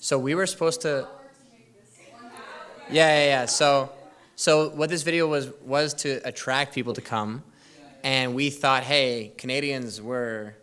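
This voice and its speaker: A man's voice: mid-range voice, steady tone, measured pace, a bit bright. A young man casually telling a church youth audience about a trip, rambling and breaking off mid-sentence with lots of fillers. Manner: rambling, self-interrupting storytelling with fillers. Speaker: youth group presenter. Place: church hall.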